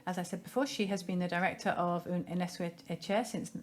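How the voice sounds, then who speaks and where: A woman giving a simultaneous interpretation of a seminar in a measured, formal way, pausing now and then to find her phrasing. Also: fairly low pitch, fairly even intonation. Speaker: conference interpreter.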